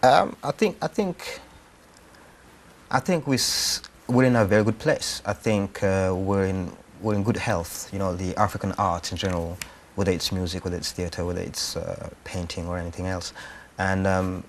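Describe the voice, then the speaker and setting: Male interviewee, low pitch, hesitant conversational delivery, faint background noise. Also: moderate pace. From Rwanda. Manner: hesitant, thinking aloud. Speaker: actor-writer being interviewed.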